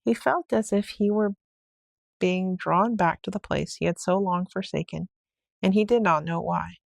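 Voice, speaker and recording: A woman's voice: on the low side, moderate pace, vocal fry, no echo. A woman narrating a classic storybook as a bedtime read-aloud. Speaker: story reader.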